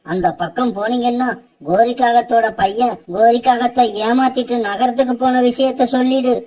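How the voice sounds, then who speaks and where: A woman voicing a concerned, earnest male bird rickshaw driver in an animated fable. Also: on the high side, distant mic, natural voice, poor audio.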